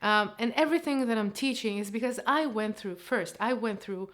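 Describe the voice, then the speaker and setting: A woman's voice: a little high, normal speed, fairly bright tone. A woman in her thirties with an Israeli accent, a Hebrew teacher talking earnestly to camera in a living room. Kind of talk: casual vlog talk to camera.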